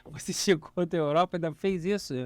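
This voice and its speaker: A man with a Brazilian accent, interviewing conversationally as a podcast host, effusive and quick to interject. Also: high voice, fairly even intonation, darkish tone, no echo.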